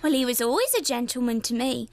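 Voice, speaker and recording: A woman's voice: on the high side, fast, no room sound, lightly acted. A woman acting an indignant, gushing lady in a comic radio play. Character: indignant, gushing lady besotted with a highwayman, heckling listener.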